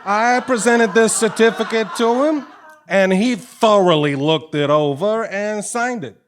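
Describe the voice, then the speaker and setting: An actor playing a proud, emphatic dealer in a live stage comedy recording. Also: male voice, high voice, a little slow, a little hiss or hum. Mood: indignant pride. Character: proud, indignant seller of autographed certificate.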